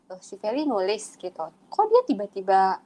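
Relaxed young woman host. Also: medium pitch, moderate pace. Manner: relaxed, teasing, mixes in English words. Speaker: podcast host. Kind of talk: casual podcast chat.

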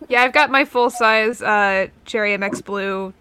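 A woman's voice: medium pitch, a little slow, bright, forceful tone, clear, resonant tone. A woman around twenty chatting casually as a participant on a group podcast. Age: 20s. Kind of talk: casual chat.

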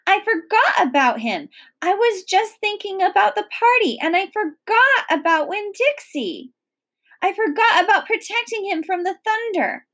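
A woman's voice: very high voice, level tone, drawn-out words, few pauses, darkish tone, pitched above the performer's own voice, exaggerated voice. A woman voicing an anxious, headstrong young girl in a children's storybook, urgent and pleading. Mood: panicked guilt.

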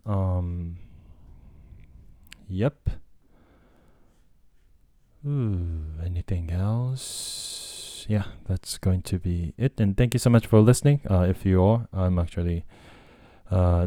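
Low-voiced Japanese man, casual ramble. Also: steady tone, slow pace, long pauses, dark tone, no room sound, studio quality. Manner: rambling, hesitant, many fillers and restarts. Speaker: podcast host and language tutor. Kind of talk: casual solo talk.

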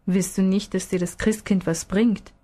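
Storybook father read by a woman, patient, gently probing, explaining things step by step. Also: mid-range voice, level tone, moderate pace, close to an everyday voice. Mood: questioning.

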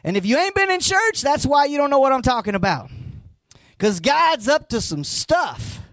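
Hoarse, high-voiced preaching man. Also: very animated, normal speed, dry recording. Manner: preachy, rising to emphatic near-shouts; folksy asides. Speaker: pastor. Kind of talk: live sermon.

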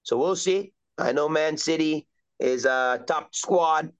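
A middle-aged man from Trinidad and Tobago, a soccer coach talking as a guest in a relaxed, rambling way with lots of fillers. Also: on the high side, slow pace, clear, resonant tone. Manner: easygoing, rambling with many fillers. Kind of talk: casual interview chat. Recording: dull lo-fi recording.

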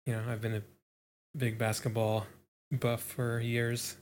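A young man co-hosting a casual podcast chat, relaxed and laid-back, with lots of fillers and chuckles. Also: mid-range voice, unhurried, a little hiss or hum. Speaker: podcast co-host.

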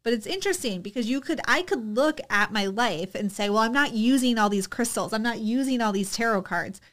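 A woman co-hosting a podcast in casual chat, talking in a relaxed, reflective way with frequent pauses and fillers. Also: a little high. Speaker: podcast co-host.

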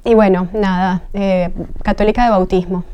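A woman's voice: medium pitch, fairly even intonation, moderate pace, dark tone, clear, resonant tone. A woman from Venezuela, a guest telling her life story in an earnest, reflective way. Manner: reflective, earnest, searching for words. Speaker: guest, train driver. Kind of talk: personal testimony in an interview.

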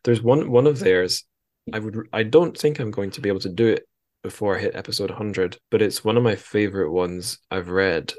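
Guy hosting a podcast, deep-ish voice, dry humor, casual chat, no room echo. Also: moderate pace, a little mellow. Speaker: podcast host.

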